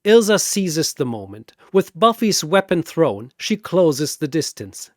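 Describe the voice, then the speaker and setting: A man's voice: fairly high pitch, sing-song, measured pace, no room sound, studio quality. A man narrating a scripted fantasy battle story in a dramatic storytelling style.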